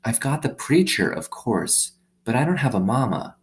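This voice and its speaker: A man's voice: mid-range voice, very animated, moderate pace, voice pushed up. A man reading a storybook, voicing a lonely, earnest young girl who confides plainly in her dog. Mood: wistful, confiding. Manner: plain-spoken, confiding, childlike phrasing. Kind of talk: storybook read-aloud.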